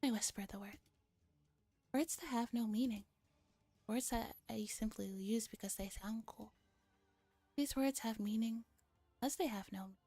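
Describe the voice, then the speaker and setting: Soft, whispery woman narrating in ASMR style. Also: fairly high pitch, dry recording. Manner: hushed, dramatic, deliberate reading. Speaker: streamer reading a visual novel aloud.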